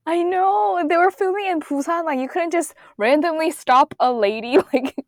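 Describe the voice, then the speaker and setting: A woman's voice: very high-pitched, normal speed, dry recording. A young woman from Korea co-hosting a podcast in casual chat, talking with many fillers and frequent laughs. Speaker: podcast co-host.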